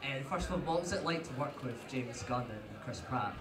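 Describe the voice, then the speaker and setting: A man's voice: mid-range voice, lively intonation, normal speed, a bit bright. A man in the audience casually asking a guest questions, with plain, hesitant wording. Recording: echoey hall, distant, crowd behind.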